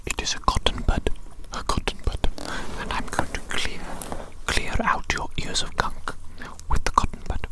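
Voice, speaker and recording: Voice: moderate pace, natural voice, poor audio. Whispering evil doctor, an ASMR performer's act. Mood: doting, eager. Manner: whispering, repeats phrases, formal old-fashioned wording. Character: evil mad doctor, doting and eccentric. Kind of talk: ASMR medical roleplay, whispered.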